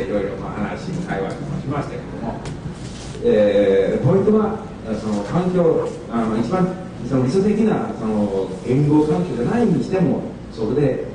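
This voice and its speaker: A man giving a relaxed, chatty public talk at a bookstore event, switching between languages and asking the audience questions. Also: mid-range voice. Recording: echoey room recorded from far off, background noise.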